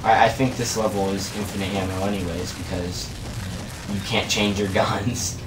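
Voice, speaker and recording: Rambling low-voiced guy, echoey distant mic. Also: steady tone, normal speed, music in the background. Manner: rambling, laid-back, says "like" a lot. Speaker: co-op game commentator. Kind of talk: casual gaming chat. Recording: echoey room, distant mic, game noise. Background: video game sounds.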